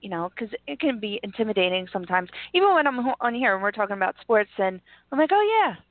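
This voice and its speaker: A woman's voice: mid-range voice, sing-song, fast talker, no room sound. A woman co-hosting a talk show, asking questions casually with a self-deprecating touch. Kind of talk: casual interview chat. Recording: tinny phone-like line, dry.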